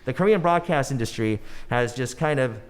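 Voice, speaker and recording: A man's voice: medium pitch, lively intonation, brisk. A man in his forties, a native English speaker, talking casually as a podcast guest with many restarts and fillers. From United States. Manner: rambling, frequent restarts and fillers. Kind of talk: casual conversational interview.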